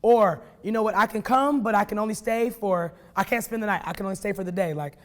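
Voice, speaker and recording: A woman's voice: mid-range voice, quick pace. Blunt, playful woman from Oakland. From Oakland, California. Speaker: jazz artist interviewee. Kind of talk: casual interview talk.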